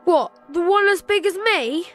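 Young male playing cheeky, high bright street boy. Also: animated, unhurried, soft background music, exaggerated voice.

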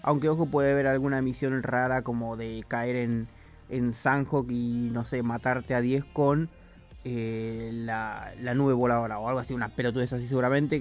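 A man giving casual, slangy commentary on video game news, with the odd swear word. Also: mid-range voice. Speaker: gaming YouTuber.

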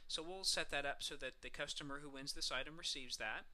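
Bright, forceful man presenting a software tutorial. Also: on the high side, fairly quick. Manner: casual, explanatory, step by step. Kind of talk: screencast walkthrough narration, semi-scripted.

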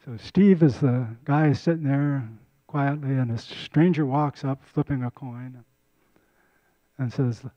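A man's voice: medium pitch, steady tone, slow pace, warm tone, no echo, dull audio. An older man giving a casual community lecture on a handheld mic, often repeating and restarting words. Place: lecture room.

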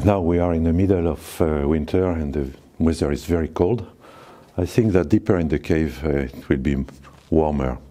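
A man in his sixties with a French accent, a cave owner giving a guided tour to camera, talking slowly and haltingly with many fillers. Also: sits low, warm tone. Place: forest outdoors, then inside a small cave.

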